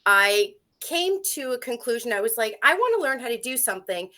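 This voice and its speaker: A woman whose first language is French, speaking English as an interview guest in an animated, talkative way with frequent fillers. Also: a little high, brisk. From Canada. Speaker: guest, first aid instructor. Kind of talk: casual interview talk.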